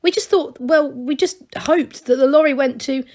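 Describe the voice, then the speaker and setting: A woman's voice: high voice, normal speed, a little mellow, pitched above the performer's own voice, close to an everyday voice. A woman voicing a hesitant, hopeful male gnome.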